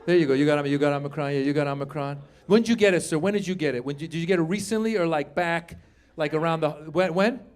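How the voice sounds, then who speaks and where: A man in his fifties doing a stand-up comedy set on a club stage, joking with the crowd in a loud, animated way and swearing freely. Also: on the high side, quick pace. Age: 50s. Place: comedy club stage before a live audience.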